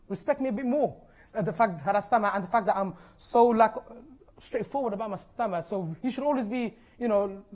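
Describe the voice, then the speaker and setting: A young man with a stammer, a teacher giving an informal, encouraging talk to an audience and using many fillers. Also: high-pitched. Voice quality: stammer, with repeated sounds and blocked starts. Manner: many fillers such as "you know" and "like", earnest and encouraging.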